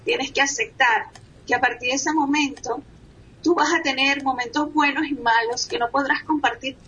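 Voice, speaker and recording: A woman's voice: high-pitched, steady tone, normal speed, sharp tone, dull audio, a little hiss or hum. A woman from Venezuela, a guest on a talk show, speaking in an earnest, reflective way. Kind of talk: radio talk show interview.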